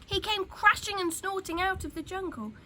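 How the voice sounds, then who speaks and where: A woman reading a children's story aloud in an expressive, dramatic way, dropping into hushed tones for suspense. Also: very high voice, moderate pace. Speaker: storytime reader. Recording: clear background noise.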